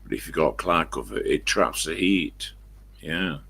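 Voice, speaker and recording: An elderly English man with a croaky smoker's voice, rambling casually to viewers on a live stream and answering his own questions. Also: rumbling low, expressive, measured pace, a bit bright. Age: 70s or older. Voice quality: croaky, husky smoker's voice with a cough.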